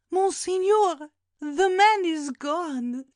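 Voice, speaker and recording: Fussy old housekeeper woman in an audiobook drama, really high voice, wailing and drawn out, very dry close sound. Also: lively intonation, dry recording, full character voice. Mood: horrified. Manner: exclamatory, gasping, drawn-out lamenting. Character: fretful, alarmed elderly housekeeper woman, prone to outcry. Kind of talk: dramatised classic audiobook acting.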